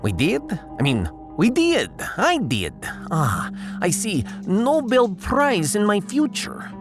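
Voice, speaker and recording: Guy doing eccentric russian lady scientist, haughty lecturer, slow and super sing-song, audiodrama. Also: high-pitched, huge pitch swings, over music, full character voice. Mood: smug. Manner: lecturing, dropping articles, teasing. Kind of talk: science fiction audiodrama acting.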